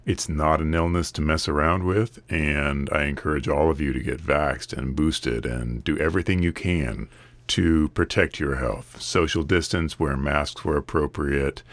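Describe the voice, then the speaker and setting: A man hosting a solo podcast, his voice a bit raspy from a recent illness, talking in an earnest, steady, advice-giving way. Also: rumbling low, unhurried, no echo. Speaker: podcast host. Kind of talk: casual solo podcast monologue.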